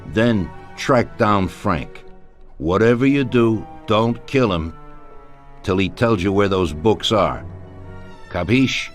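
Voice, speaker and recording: An actor voicing a grave, commanding middle-aged mafia don in a video game cutscene, speaking deliberately and with authority. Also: male voice, fairly low pitch, expressive. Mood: cold, commanding. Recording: music behind the voice.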